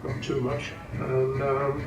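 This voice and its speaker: A man's voice: medium pitch, monotone, dull audio, music in the background. Elderly man, echoey distant recording. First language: Welsh. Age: 80s. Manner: unhurried, rambling with many ums and restarts. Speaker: snooker coach and referee. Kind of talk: interview, reminiscing. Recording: echoey room, distant mic, background noise.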